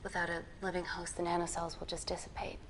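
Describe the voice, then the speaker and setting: Low-voiced, precise woman scientist. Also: completely flat delivery, quick pace, a bit bright, slight background noise. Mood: tense, grave. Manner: precise, clinical. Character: calm, authoritative woman scientist, protective of her patient. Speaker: doctor leading a laboratory. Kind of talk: naturalistic screen drama acting.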